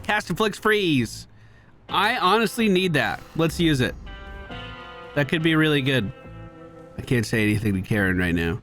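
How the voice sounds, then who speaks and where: A man's voice: on the high side, animated, moderate pace, long pauses, fairly bright tone, faint music behind. A man giving casual running commentary as he plays a video game, talking to himself with exasperated exclamations and swearing. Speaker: gaming video creator.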